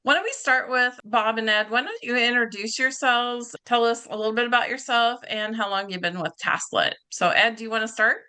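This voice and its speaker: Bright upbeat woman hosting. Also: fairly high pitch, brisk, few pauses, smooth. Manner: upbeat, encouraging, laughs often. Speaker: podcast host. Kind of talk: hosting an interview.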